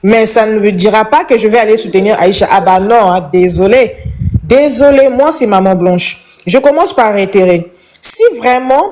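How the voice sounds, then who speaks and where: A woman's voice: a little high, darkish tone. A woman from Congo, calling into a live chat and giving an impassioned, preachy opinion that repeats its points.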